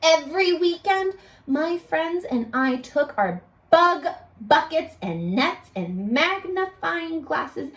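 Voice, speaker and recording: A woman reading aloud to young children like a teacher, expressive and exclamatory, pausing to coax them with questions. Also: high voice. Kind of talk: animated children's read-aloud with questions to listeners.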